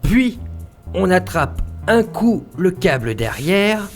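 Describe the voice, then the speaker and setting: A man plays a know-it-all office colleague in a comedy audio drama. He is breezy and instructive, with a very mobile, slightly high voice. Faint music plays behind him. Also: big pitch swings, takes it slowly, exaggerated voice.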